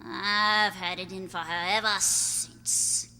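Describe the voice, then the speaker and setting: A woman's voice: mid-range voice, steady tone, slow, pushed and bright, full character voice. A woman playing a bitter, vengeful, scarred slum woman in an amateur radio play, coarse and taunting, in a reverberant hall. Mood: vengeful, anguished. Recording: reverberant hall, faint noise and hum.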